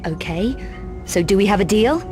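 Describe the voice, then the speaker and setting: A young adventurer played by a woman in a game cutscene, tough and sure of herself. She talks in a curt, sardonic way with quiet menace, and faint music runs behind her voice. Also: medium pitch, lively intonation, moderate pace, close to an everyday voice. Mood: hard, threatening. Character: tough, self-assured young female adventurer, cool and menacing. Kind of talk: video game cutscene acting.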